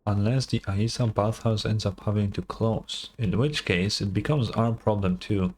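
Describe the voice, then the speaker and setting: A man's voice: fairly low pitch, normal speed, darkish tone. A man giving casual live reaction commentary as a game streamer, blunt, skeptical and sweary.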